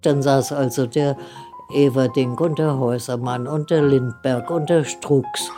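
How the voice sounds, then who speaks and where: An older woman interviewee recalling the past conversationally, a little halting. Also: rumbling low, steady tone, mellow, soft background music. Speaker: eyewitness interviewee recalling events. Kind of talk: conversational reminiscence.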